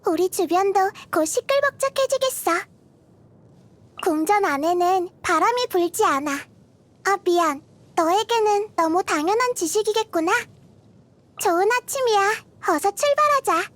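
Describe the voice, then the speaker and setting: A woman voicing a gentle, curious little girl deity of wisdom in a game, soft-spoken and thoughtful, as if kindly explaining. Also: very high voice, expressive, measured pace. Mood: gentle, caring. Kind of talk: scripted game character voice lines. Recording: music behind the voice. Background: background music.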